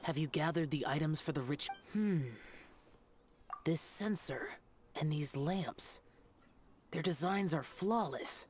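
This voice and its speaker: Stern, solemn immortal warrior. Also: male voice, on the high side, expressive, slight background noise. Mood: appraising. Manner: formal, measured, grave. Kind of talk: anime video game character acting.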